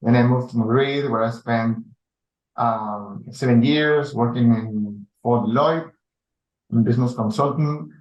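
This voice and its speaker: A man from Spain in his forties speaks slowly and thoughtfully, pausing often as he explains his work. His voice bounces around an echoey room over a video call, with a middling pitch. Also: a little mellow, muffled recording. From Spain, Basque Country. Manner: thoughtful, pauses often with fillers. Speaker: guest, chief data officer. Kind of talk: casual interview talk. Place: home or office in Lima.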